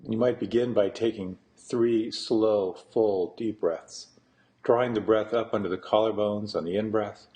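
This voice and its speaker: Male meditation teacher, lowish voice, unhurried guided-practice delivery with deliberate pauses. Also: steady tone, dark tone. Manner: slow, deliberate, with long pauses between phrases.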